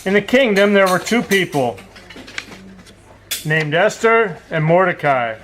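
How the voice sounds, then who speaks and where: A man narrating a children's puppet show as a storyteller, with simple, deliberate phrasing. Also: sits high, expressive, fairly bright tone, slight background noise.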